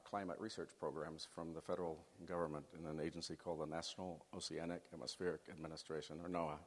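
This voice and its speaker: A middle-aged man raised in Chile giving a folksy, faith-based talk to a student audience. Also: low-pitched. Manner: folksy, leads the audience through an exercise. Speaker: guest speaker. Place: large hall. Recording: long hall reverb.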